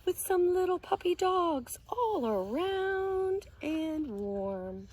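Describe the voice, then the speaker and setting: A woman reading a picture book aloud to preschoolers as their teacher, expressive and sing-song, often hushed, pausing to explain words and ask questions. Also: very high voice. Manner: animated, asks questions and explains words to children.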